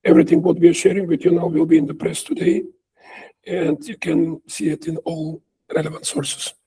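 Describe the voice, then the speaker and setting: A man's voice: fairly high pitch, fairly even intonation, fairly quick, mellow, slightly rough. A man, speaking as a company executive answering questions on an earnings call.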